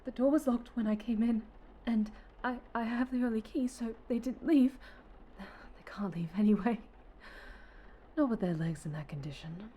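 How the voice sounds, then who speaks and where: A woman playing a sinister, obsessive, possessive female superhero in an ASMR roleplay, halting and menacing, often dropping into whispers. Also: mid-range voice, mellow, a little hiss or hum, natural voice. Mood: panicked, frantic, then menacing, quiet.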